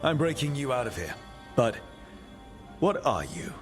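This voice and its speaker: An actor playing a resolute young adventurer leading a rescue in a fantasy game, talking in a direct, businesslike way. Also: male voice, mid-range voice, sing-song, a little slow. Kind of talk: cinematic fantasy game voice acting. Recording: loud music behind the voice. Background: loud game music.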